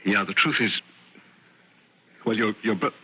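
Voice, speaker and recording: An actor playing a guarded, nervous middle-aged husband, hesitant and stammering, in a radio drama. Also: male voice, fairly low pitch, measured pace, slightly rough. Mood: shaken, halting. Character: uneasy, guarded husband and householder hiding nerves. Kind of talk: mid-century radio drama acting. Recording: thin, phone-like vintage radio recording.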